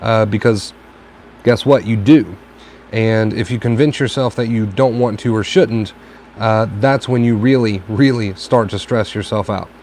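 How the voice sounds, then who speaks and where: Man, tired, raspy dry throat, lowish voice, repeats key phrases, casual live-stream advice, faint background noise. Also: fairly even intonation, unhurried, a little mellow. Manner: reflective, repeats phrases for emphasis. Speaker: host answering viewer questions. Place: home stream from a tablet.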